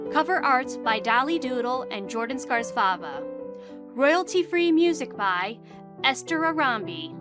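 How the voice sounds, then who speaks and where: A woman reading scripted storybook narration and credits for an audio drama. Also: fairly high pitch. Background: music.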